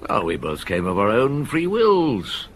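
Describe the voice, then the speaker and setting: An actor playing Maigret, a genial, reflective French police inspector past fifty, in radio drama style, unhurried and given to murmured hums. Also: male voice, lowish voice, huge pitch swings, a little hiss or hum. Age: older. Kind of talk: British radio drama acting.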